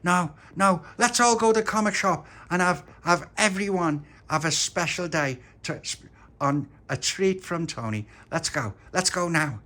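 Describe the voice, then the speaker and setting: A man voicing an excitable, generous young boy on his birthday, in a high, breathless child voice. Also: unhurried. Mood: eager.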